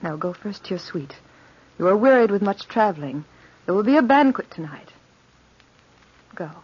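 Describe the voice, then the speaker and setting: A gracious, imperious countess in a vintage radio play. Her husky voice rises and falls widely, regal and coolly playful. The recording is old and dull. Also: mid-range voice, lively intonation, a little mellow. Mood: amused, commanding. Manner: regal, measured, theatrical. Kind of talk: old-time radio drama acting.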